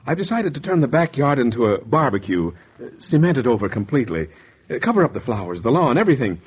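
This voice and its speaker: 1940s radio noir: secretive American husband, stammering and guilty, swinging pitch, dull vintage sound. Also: male voice, sing-song, quick pace, husky. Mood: feigned reluctance. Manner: nervous, hesitant, stammering. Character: anxious guilty husband, secretive murderer, narrating his crime.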